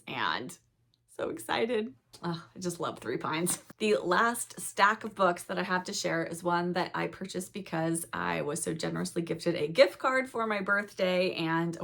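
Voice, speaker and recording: Middle-aged woman book vlogger, lowish voice, getting over a cold, chatty and soft. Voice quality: soft-spoken, reads blurbs aloud. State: recovering from a cold, slightly raspy. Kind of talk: casual book haul chat.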